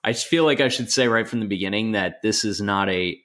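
A man's voice: on the low side, fairly quick, a bit bright. A young man co-hosting a casual podcast, wry and joking, with easy laughter. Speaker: podcast co-host. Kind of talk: casual chat.

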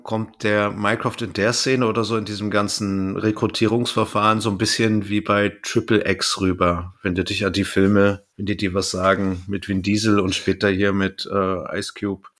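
A man with a fairly low voice speaks as a podcast co-host. He sums up and comments on a story in a casual, conversational style. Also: fairly even intonation, moderate pace, hardly any pauses, a bit bright. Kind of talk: casual discussion and retelling of an audio drama.

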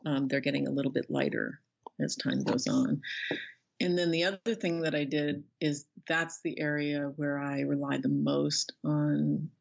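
An older woman speaking as an interview guest, thoughtful and conversational, pausing as she searches for words. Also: low voice, vocal fry. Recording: slightly dull, band-limited audio.

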